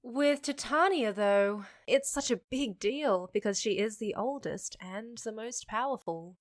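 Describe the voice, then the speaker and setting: A woman's voice: on the high side, measured pace, natural voice. An actress playing a frank, savvy young half-fae woman, teasing yet supportive, with a wry conversational manner in an audio drama. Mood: thoughtful.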